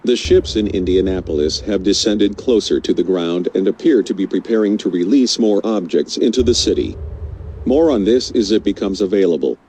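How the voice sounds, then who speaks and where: A man playing a radio news anchor, reading a scripted crisis bulletin in a formal, measured way. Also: low-pitched, dark tone, slight background noise, close to an everyday voice. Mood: grave, formal. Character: male radio news anchor covering an unfolding invasion crisis.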